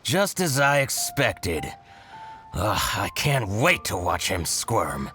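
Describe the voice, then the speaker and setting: A man playing an envious, scheming shopkeeper in a video game, theatrical, excitable and given to grumbling and gloating. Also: fairly low pitch, slow, faint music behind, full character voice.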